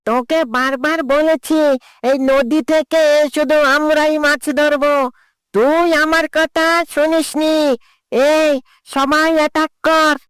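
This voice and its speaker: A woman's voice: high voice, level tone, takes it slowly, fairly bright tone, no echo. A woman voicing a bossy, threatening ogre gang boss in a children's cartoon. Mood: angry, threatening. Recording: music behind the voice.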